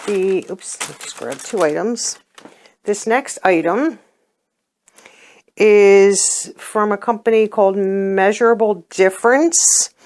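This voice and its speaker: A middle-aged woman with a hoarse voice from a cold, chatting casually as she reviews beauty products and often pauses with ums. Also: medium pitch, darkish tone. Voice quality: hoarse, clears her throat. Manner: chatty, plainspoken, hesitant with ums. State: getting over a cold, losing her voice. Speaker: unboxing vlogger.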